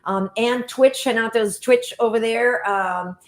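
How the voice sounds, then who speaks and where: A woman's voice: fairly high pitch, measured pace. A woman hosting a casual talk show, upbeat and easygoing, laughing often. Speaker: host. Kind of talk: casual chat, show sign-off.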